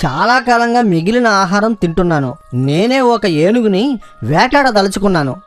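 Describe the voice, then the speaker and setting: Fawning, scheming cartoon fox. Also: male voice, sits high, animated, moderate pace, soft background music. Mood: eager, boastful. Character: servile, scheming hungry fox, overconfident. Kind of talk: children's cartoon voice acting.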